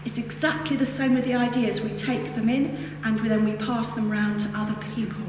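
A woman's voice: a little high, flat delivery, moderate pace. A woman with a northern English accent gives a reflective Buddhist teaching, meandering through casual asides. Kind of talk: dharma talk to a group. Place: hall with a lectern. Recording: echoey hall, distant mic, background noise.

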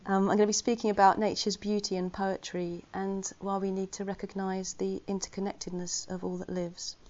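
A woman of middle pitch gives an informal talk to her Buddhist community. She is chatty and earnest, with flashes of self-deprecating humour. The recording sounds slightly muffled. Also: little pitch movement, fairly quick, a little mellow. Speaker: Buddhist order member giving a talk. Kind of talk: informal Dharma talk to a gathering.

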